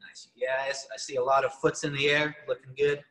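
A man's voice: mid-range voice, level tone, fairly quick, pushed and bright. Young man coaching in an echoey gym. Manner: upbeat, encouraging cues to participants. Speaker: fitness coach. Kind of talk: coaching a live online workout class. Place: large gym with rigs, indoors. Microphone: far camera.

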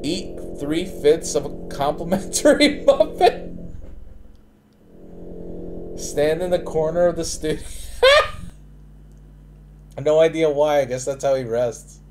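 Profane excitable streamer man. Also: a little high, slow, pauses often, background noise. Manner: profane, excitable, laughs and shouts often. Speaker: streamer reacting to videos. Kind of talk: live stream reaction, reading on-screen text aloud.

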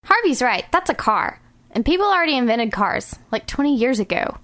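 Woman playing a high-voiced, sassy skeptic. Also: very animated, a little fast, a bit bright, dry recording. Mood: sassy, skeptical. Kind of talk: amateur audio comedy sketch.

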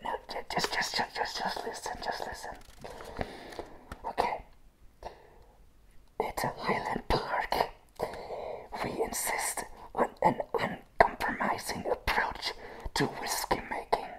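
A young man playing a crazy, jittery circus clown in a whispered ASMR roleplay, haltingly repeating his words with nervous apology in his manner. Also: a bit bright, poor audio. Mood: unhinged, intent. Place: small room with a plain grey wall. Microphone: close to the mic and camera.

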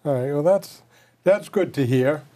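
A man's voice: a little high, a little slow, warm tone. An older man hosting a local TV interview show, asking questions in a folksy, conversational way from a studio set. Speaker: TV show co-host. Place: dark studio set, seated at table.

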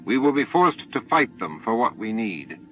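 A measured, falsely regretful alien commander, voiced by a male audiobook reader, speaks formally to his hive. The recording is muffled, with faint music behind. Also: medium pitch, very animated, lightly acted. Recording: dull lo-fi tape audio with music.

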